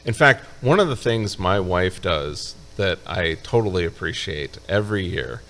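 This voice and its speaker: A man hosts a live show in an easygoing, chatty way. His voice sits on the low side. He is from upstate New York and keeps the talk loose and friendly. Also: big pitch swings, normal speed, a bit bright. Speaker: show host. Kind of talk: casual live show chat.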